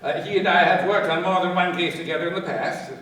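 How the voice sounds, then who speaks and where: A man playing a methodical, cautious police inspector in a radio play, deliberate and given to thoughtful hums and pauses. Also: high-pitched, fairly bright tone. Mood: businesslike. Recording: very echoey, distant, with background noise.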